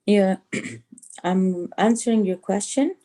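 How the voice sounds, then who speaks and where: A middle-aged woman with an Afghan accent, speaking emphatically in halting English as a guest on a video call interview. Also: medium pitch, dark tone. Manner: emphatic, repeats phrases in halting non-native English. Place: small home room, indoors. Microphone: video call on a phone or laptop.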